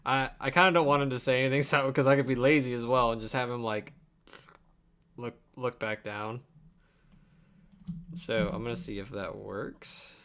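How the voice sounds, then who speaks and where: Gravelly young man thinking aloud. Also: mid-range voice, steady tone, moderate pace, pauses often, fairly bright tone. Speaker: live streamer editing videos. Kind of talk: casual chat, thinking aloud while working. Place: small indoor room at a computer desk. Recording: faint computer and keyboard noise. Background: keyboard typing and mouse clicks.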